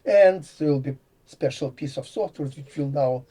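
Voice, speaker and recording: A man's voice: fairly high pitch, normal speed, mellow. An older man from Latvia, a professor with a Latvian accent, explaining ideas in a thinking-aloud way and often dropping articles. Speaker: guest, computer science professor. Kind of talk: explanatory conversation.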